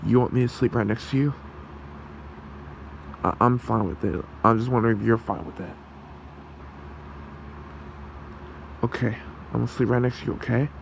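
A man voicing a gentle, protective fox creature in an ASMR roleplay, with a soothing, halting, gravelly delivery. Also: mid-range voice, slight background noise, natural voice. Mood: tender, reassuring. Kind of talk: ASMR roleplay, soft comforting talk.

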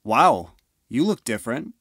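A man's voice: medium pitch, very animated, measured pace, vocal fry, fairly bright tone, breathy edge, no echo, clean studio sound, full character voice. A man playing a moody, offhand teenage older brother in an amateur audio drama. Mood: surprised.

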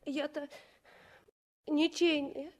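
A small orphaned girl in an old radio drama. Her childlike voice is very high and comes slowly, in halting, plaintive phrases. She is plain-spoken and trusting. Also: little pitch movement, darkish tone. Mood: tearful, plaintive. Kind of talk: 1960s radio play acting.

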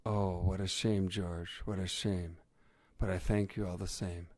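A man's voice: low-pitched, normal speed, darkish tone, no echo, lightly acted. A man voicing a lovelorn, melodramatic lady setter dog who speaks plaintively in a storybook tale. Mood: wistful.

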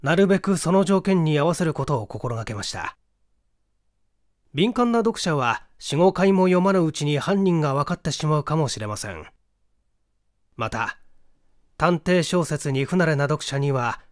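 Expressive man reading a mystery novel. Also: a little high, no room sound, studio quality. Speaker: audiobook reader, stage actor. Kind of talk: literary mystery novel reading, scripted narration.

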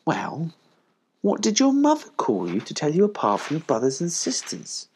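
Man as a motherly collie, lifted pitch. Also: wildly swooping pitch, moderate pace, darkish tone, husky, exaggerated voice. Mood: kindly. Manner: maternal, kindly, firm with her young. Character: motherly female collie, brisk but tender.

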